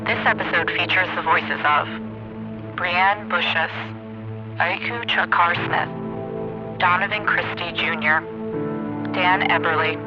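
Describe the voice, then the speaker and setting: A man's voice: sits high, fairly even intonation, takes it slowly, pauses often, muffled recording. A man reading scripted podcast credits as an announcer. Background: music.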